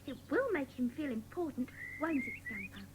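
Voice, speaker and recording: A woman's voice: sits high, big pitch swings, moderate pace, noisy background. A woman playing a capable, cheerful young schoolgirl in a dramatised children's audiobook, chirpy and practical.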